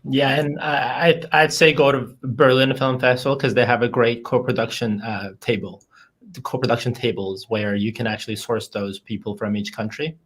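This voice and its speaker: Man, panelist, mid-pitched voice, direct and conversational. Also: steady tone, moderate pace, a bit bright, breathy edge. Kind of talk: panel discussion answer.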